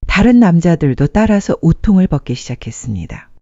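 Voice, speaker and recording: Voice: medium pitch, big pitch swings, a little fast, warm tone, dry recording. A narrator reading a scripted dramatic story as a storyteller. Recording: muffled dry studio sound.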